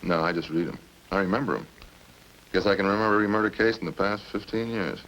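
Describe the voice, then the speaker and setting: A man's voice: lowish voice, fairly even intonation, quick pace, a bit bright, rough recording. A man playing a talkative, smug murder suspect in a radio crime drama, folksy and cagey as he casually needles the police. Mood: chatty, smug. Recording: hissy old radio recording.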